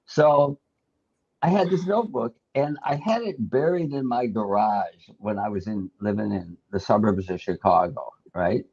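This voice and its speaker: Middle-aged American man, husky storyteller. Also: fairly low pitch, moderate pace, darkish tone, lo-fi sound. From United States. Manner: self-deprecating storyteller, laughs often. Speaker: host. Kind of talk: casual chat.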